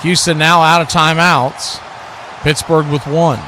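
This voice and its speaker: American guy doing football play by play, kinda high voice, folksy and excitable, noisy rumbly background. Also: measured pace. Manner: folksy exclamations. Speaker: play-by-play sports commentator on a radio watch party.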